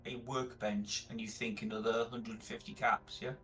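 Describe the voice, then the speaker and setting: A man's voice: medium pitch, flat delivery, normal speed, a bit bright, faint music behind, poor audio. A man playing a tabletop game, discussing options with the group in casual talk.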